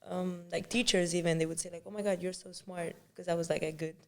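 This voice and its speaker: A woman's voice: on the low side, steady tone, fast talker. A woman from Spain whose first language is Spanish, a singer telling her story candidly in a casual interview.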